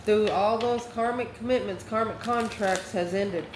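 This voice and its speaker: The recording is echoey, with clear noise behind the voice. A woman speaks at a medium pitch as she hosts a tarot reading. She talks casually in mystical terms and addresses her listener directly and with affection. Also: moderate pace. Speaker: tarot reader, host. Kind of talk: casual spoken tarot card reading to camera. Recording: echoey room, clearly noisy background.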